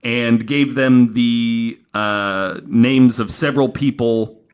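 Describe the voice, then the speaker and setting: A man's voice: medium pitch, steady tone, takes it slowly, clean tone. A man hosting a podcast, telling a true-crime story casually and informally from notes, with some swearing. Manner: informal, profane, acting out quoted remarks.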